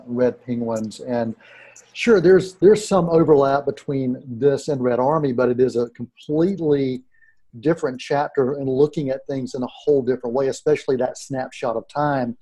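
A man's voice: medium pitch, moderate pace, mellow, slightly rough. A middle-aged man hosting an interview, speaking conversationally in hesitant, broken-up phrases. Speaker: interview show host.

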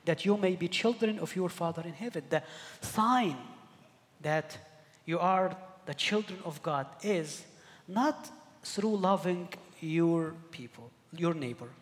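A middle-aged man from Egypt preaching a sermon, earnest and halting, often repeating words as he searches for the next one. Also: on the high side, animated, in a large hall. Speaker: pastor.

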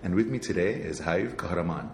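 Male interview host, very low voice, rambling delivery, echoey room. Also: lively intonation, brisk, a little hiss or hum. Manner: rambling, restarts phrases often. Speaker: podcast host. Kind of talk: casual interview.

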